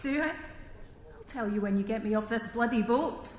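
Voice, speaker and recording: A woman's voice: on the high side, normal speed, darkish tone, close to an everyday voice. An actress playing a feisty, grumbling woman bantering on a ferry in an audio drama, in an echoey space with engine noise. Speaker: actor. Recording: echoey open space, long reverb, vehicle noise.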